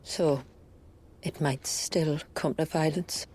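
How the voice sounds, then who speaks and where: A woman playing a resolute, solemn village leader in a video game, speaking in a measured, formal way. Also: sits low, steady tone, a little mellow. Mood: resolute, wary. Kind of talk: video game cutscene acting. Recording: music behind the voice.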